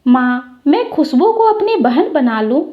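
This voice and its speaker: An affectionate little boy voiced by a woman storyteller. He is childlike, earnest and insistent, with a high, animated voice for a storybook tale. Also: a little slow, narrow, tinny audio. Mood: eager. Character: affectionate, insistent young boy devoted to sister.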